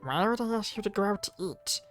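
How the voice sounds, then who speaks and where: A woman's voice: medium pitch, animated, fairly quick, soft background music, close to an everyday voice. A woman playing a bossy, exasperated student girl in a visual novel, blunt and scolding. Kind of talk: visual novel character dialogue.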